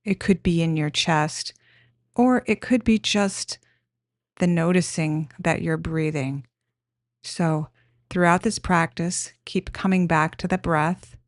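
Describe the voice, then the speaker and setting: Female meditation guide: gentle, instructional, very unhurried delivery, slightly low pitch. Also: dry recording, clean studio sound.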